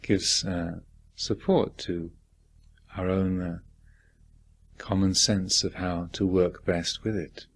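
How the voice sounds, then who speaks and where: Male meditation guide, husky and slow, gently reflective, leaving generous space between phrases. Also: deep voice, fairly even intonation. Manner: gentle reflective questioning, deliberate phrasing with long pauses. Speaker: meditation teacher.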